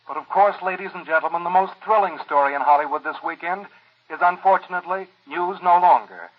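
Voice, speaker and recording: A man's voice: high voice, a little fast, natural voice. An actor playing a gushing, dramatic male Hollywood gossip commentator on 1940s radio. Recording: muffled, tinny old radio sound.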